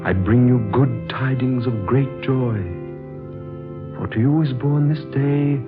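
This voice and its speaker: A man playing a solemn, gentle Christ figure who recites scripture in stately 1940s radio drama style. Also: medium pitch, takes it slowly, close to an everyday voice. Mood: reverent, stately. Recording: muffled vintage radio recording with music.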